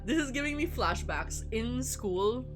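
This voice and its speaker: A woman's voice: fairly high pitch, animated, unhurried. A woman livestreaming a visual novel, chatting casually in a wry, rambling way and reading game text aloud. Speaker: streamer playing a visual novel. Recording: music behind the voice. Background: music.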